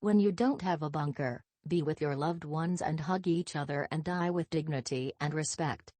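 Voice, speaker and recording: A synthetic woman's voice reading scripted emergency broadcast announcements in a stiff, impersonal, measured way. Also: sits low, darkish tone, dry recording.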